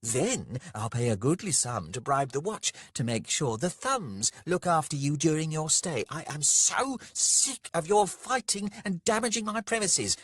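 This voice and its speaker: Man putting on a screeching, high-pitched furious landlady. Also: expressive, measured pace, few pauses, breathy edge, no room sound, studio quality. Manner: scolding, ranting. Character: furious, screeching, foul-mouthed tavern landlady.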